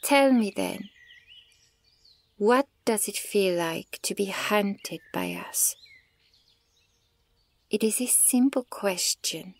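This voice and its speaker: Woman acting a slow, gravelly, haughty elven archer. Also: medium pitch, animated, heavily acted. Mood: menacing, condescending. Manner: deliberate, condescending, partly whispered. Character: haughty, menacing centuries-old female elven archer taunting prey. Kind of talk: slow ASMR roleplay monologue.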